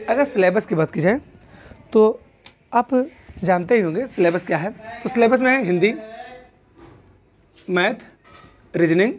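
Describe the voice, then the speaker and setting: A man's voice: high voice, a little mellow. A man in his twenties explaining step by step in an instructional style, addressing viewers as friends and repeating key points. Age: 20s. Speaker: exam coach and police officer presenting a channel. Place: indoor room, in front of a whiteboard. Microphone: clip-on lapel mic.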